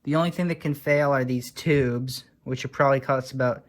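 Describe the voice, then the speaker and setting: A man's voice: mid-range voice, steady tone, a little fast. A man giving casual, unscripted show-and-tell commentary in a dry, wry manner, like a hobbyist vlogger. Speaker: vlogger showing old radio repairs.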